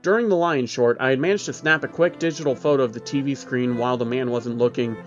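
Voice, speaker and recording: A man's voice: medium pitch, fast talker, soft background music. A man reading a scripted first-person horror story as its narrator. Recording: dull lo-fi audio, faint background noise.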